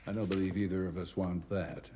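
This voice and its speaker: A man's voice: sits low, steady tone, fairly quick, mellow, a little hiss or hum, natural voice. A man playing a cold domineering director. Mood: imperious. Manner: condescending, imperious. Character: cold, domineering male director, middle-aged. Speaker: institute director.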